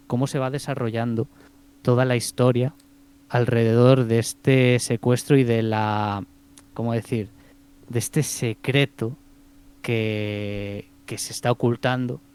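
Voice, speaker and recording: A man with a Spanish accent from Spain talks through a film review in a relaxed podcast chat. His voice is smooth and resonant, at a middle pitch. He pauses often, fills gaps and restarts his sentences as he thinks aloud. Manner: hesitant, many fillers and restarts.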